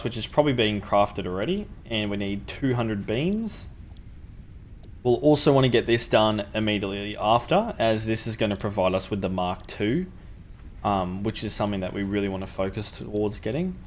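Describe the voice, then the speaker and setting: A man's voice: fairly low pitch, animated, moderate pace, a little hiss or hum. A young man with a sore throat, casually talking through a factory-building game as the channel's host, thinking aloud with ums. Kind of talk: gameplay commentary.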